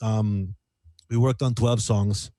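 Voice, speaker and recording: Casual, animated man with a close, dry sound. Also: fairly low pitch, takes it slowly, no room sound. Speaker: singer-songwriter guest. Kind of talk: casual radio interview chat.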